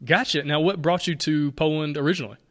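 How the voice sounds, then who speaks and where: A man's voice: on the high side, fairly even intonation, fast talker. A man hosting a podcast, upbeat and loose as he interviews a guest. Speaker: podcast host.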